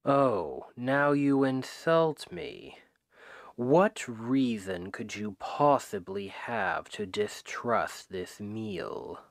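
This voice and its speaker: Slow, gravelly demon king acted by a man. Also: medium pitch, sing-song, heavily acted. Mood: offended, exasperated. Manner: slow, deliberate, persuasive, condescending. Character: male demon king, smooth-talking, manipulative, self-justifying captor. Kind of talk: ASMR roleplay, scripted dramatic monologue. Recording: long hall-like reverb.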